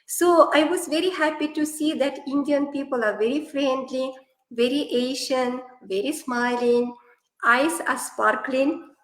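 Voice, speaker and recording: Woman, Kazakh accent, native Kazakh speaker, high voice, animated, halting English, roomy echo. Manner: non-native English, animated storytelling with fillers. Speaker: guest, classical dancer. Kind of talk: online interview.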